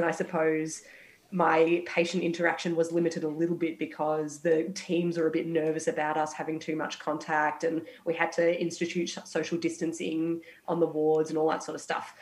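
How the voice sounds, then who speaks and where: A young woman on a radio health panel, a final-year medical student, talking frankly and a little croaky from a cold. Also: deep voice, echoey room, low-quality recording. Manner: frank, reflective, many restarts. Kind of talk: casual radio panel chat.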